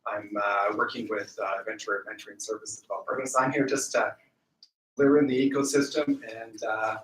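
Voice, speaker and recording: An older man, a retired executive and mentor, introducing himself to a group in a measured, halting way. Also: fairly low pitch, steady tone, fairly bright tone. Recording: echoey room, distant, faint noise.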